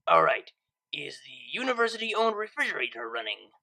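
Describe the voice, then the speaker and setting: A woman's voice: low voice, big pitch swings, moderate pace, pushed and bright, full character voice. A woman voicing a brash but awkward young female DJ unicorn in an audio story, talking in a casual, blunt way. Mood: mischievous, fumbling. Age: young adult.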